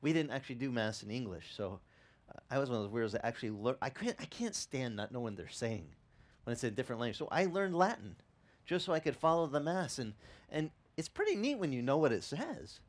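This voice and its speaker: A man in his fifties preaching outdoors on a beach with a headset mic, talking in a loose, animated way with asides to the crowd. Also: mid-range voice, fast. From Italian-American upbringing. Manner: animated, conversational preaching with restarts and asides to the audience. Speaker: pastor. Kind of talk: outdoor sermon. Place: open-air beach tent service.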